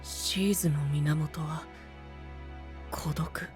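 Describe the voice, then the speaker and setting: An actor playing a calm, thoughtful, boyish young knight in an anime audio drama, speaking in a measured, questioning way. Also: male voice, fairly high pitch, over music. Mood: thoughtful, doubtful.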